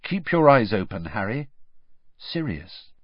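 Guy reading out an urgent caring letter in an audiobook, measured, a bit rough, dry recording. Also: lowish voice, big pitch swings, dry recording, dull audio. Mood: urgent, concerned. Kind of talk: letter read aloud in an audiobook.

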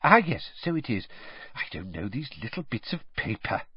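A man's voice: medium pitch, very animated, moderate pace, slightly rough, no room sound. A man voicing an eager, nervous middle-aged wizard father who stammers and fumbles. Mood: flustered. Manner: flustered, hesitant, stammering. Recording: slightly dull lo-fi audio.

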